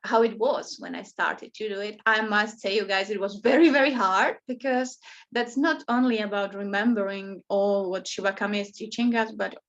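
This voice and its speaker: A woman with a Czech accent speaks at a middle pitch in non-native English. She gives an informal personal talk as a yoga teacher, leaning on key words and repeating them for emphasis. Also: steady tone, normal speed, a bit bright, lo-fi sound. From Czech Republic.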